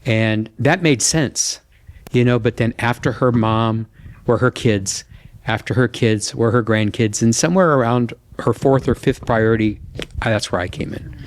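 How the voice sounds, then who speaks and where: An older man talking earnestly and plainly as a contestant on a dating show, explaining himself in a measured way. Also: mid-range voice, a little hiss or hum.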